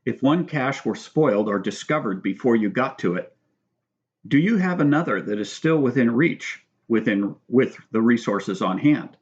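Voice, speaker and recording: Man, mid-range pitch, author-read audiobook narration, measured, instructional, slightly lo-fi dull sound. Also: lively intonation. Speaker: author reading own book. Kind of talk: scripted audiobook narration with spoken asides.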